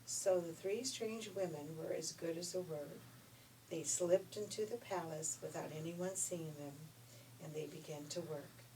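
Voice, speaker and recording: A woman reading a children's story in an expressive, folksy way. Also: on the low side, measured pace. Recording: noisy, distant room recording.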